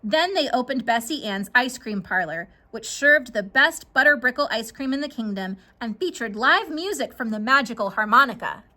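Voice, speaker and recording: Animated high-voiced woman storyteller. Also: normal speed, hardly any pauses. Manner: dramatic, expressive. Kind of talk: scripted children's story narration.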